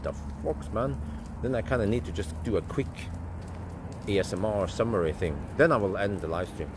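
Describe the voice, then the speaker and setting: A man, rambling through casual live-stream chat as host and thinking aloud with fillers and restarts. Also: normal speed. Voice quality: deep voice. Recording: steady vehicle and street noise.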